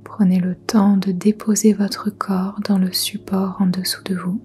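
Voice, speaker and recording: A woman leading a guided yoga nidra meditation in a soft, breathy near-whisper, soothing and gentle. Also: medium pitch, little pitch movement, faint music behind. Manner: soothing, gentle, unhurried instruction.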